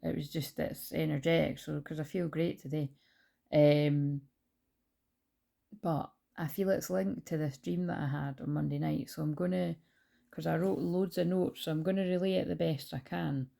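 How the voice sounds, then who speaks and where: Woman, mellow dark tone, rambling with fillers, spiritual vlog host, phone mic. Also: sits low, fairly even intonation, moderate pace, gravelly. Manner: rambling, full of fillers and restarts. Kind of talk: casual talk to camera. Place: at home beside her dog. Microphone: phone held in hand.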